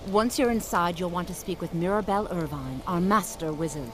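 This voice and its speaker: A woman's voice: on the low side, a little fast, noisy background, natural voice. An actress playing a proud, formal wizard woman in a fantasy video game. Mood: formal, approving. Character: proud female college wizard guiding an apprentice.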